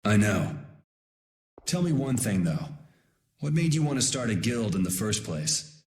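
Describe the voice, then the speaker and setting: A man's voice: on the low side, big pitch swings, a little slow, darkish tone. An actor playing a cool, sardonic young swordsman in a video game, talking in a dry, casual way. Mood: cool, thoughtful. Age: young adult. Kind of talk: dramatic video game voice acting. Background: background music.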